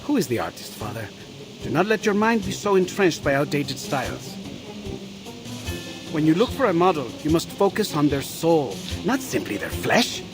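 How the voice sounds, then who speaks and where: An artist in a fairly high, swooping voice. He is eloquent, passionate and charming, a game character who persuades as he talks, over music. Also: sing-song, moderate pace. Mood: impassioned. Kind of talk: visual novel voice acting.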